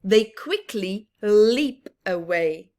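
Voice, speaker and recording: A woman reading a picture book aloud to young children, interactive and expressive, with a sing-song, questioning manner. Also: medium pitch, drawn-out words. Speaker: children's story reader and teacher.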